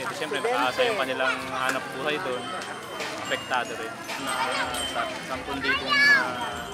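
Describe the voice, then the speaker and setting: A Filipino resident giving a halting interview statement as a community activist. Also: medium pitch. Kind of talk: hesitant spoken interview statement. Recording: field interview under loud music.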